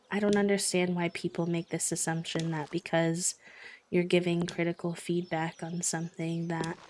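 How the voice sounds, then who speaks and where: A woman with a fairly low voice chats casually on her livestream while she plays. Her voice is a little croaky, as if she just woke up. She talks in a thoughtful, hesitant way, with plenty of ums and likes. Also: darkish tone, a little hiss or hum. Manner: thoughtful, hesitant, many fillers. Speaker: streamer.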